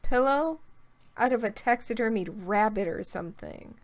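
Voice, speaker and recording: A young woman in a casual chat, playful and opinionated, slipping in jokes as she goes. Her voice sits a little higher than most women's. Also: lively intonation, normal speed. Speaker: guest co-host.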